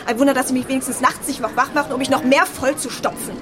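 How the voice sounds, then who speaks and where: A young woman playing a fragile, defiant sixteen-year-old daughter in a radio drama, with a breathy edge to her voice. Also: sits high, steady tone, quick pace, music in the background, close to an everyday voice. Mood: defiant, desperate.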